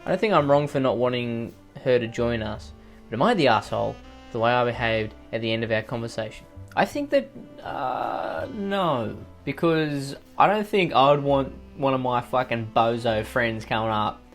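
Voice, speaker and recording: A man's voice: medium pitch, expressive, moderate pace, faint music behind. A man with an Australian accent reading out online posts and riffing on them with profane, sarcastic, rambling comedic asides. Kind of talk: comedic commentary on read-out posts.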